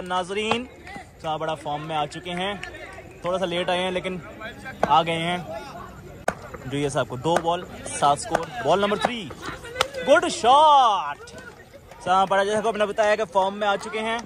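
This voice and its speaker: A man's voice: high-pitched, normal speed. A man giving live ball-by-ball commentary on an amateur cricket match at an outdoor floodlit ground, calling every ball. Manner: excited commentary, rising on big shots. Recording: crowd noise from an outdoor ground. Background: players and crowd chatter.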